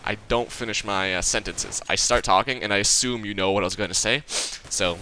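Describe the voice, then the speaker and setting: A man's voice: fairly low pitch, brisk, noisy background. A nineteen-year-old man giving casual gameplay commentary, rambling and profane, stuttering and leaving sentences unfinished. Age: young adult. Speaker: gaming channel host.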